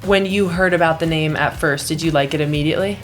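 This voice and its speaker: A woman's voice: low-pitched, quick pace. A woman hosting a casual interview, putting questions to her guests in a chatty way. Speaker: interviewer, show host. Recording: music clearly playing behind the talk.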